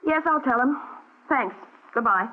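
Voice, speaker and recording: An actress playing a cool, cautious accomplice to a plot boss in vintage radio acting style. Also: female voice, on the high side, lively intonation, measured pace. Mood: wary, businesslike. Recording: tinny old radio broadcast.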